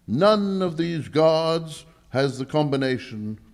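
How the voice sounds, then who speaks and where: A man's voice: a little high, animated, slow. A man playing an alarmed, pompous lordly bank governor in a live 1940s-style radio mystery, emphatic and melodramatic. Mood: grave, baffled.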